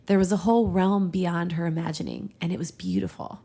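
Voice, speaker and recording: Woman, creaky low-key fry, giggly comedic storytelling, podcast host reading aloud. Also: steady tone, brisk, mellow. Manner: giggly, breaks off to joke. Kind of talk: comedic read-aloud of fan fiction with banter.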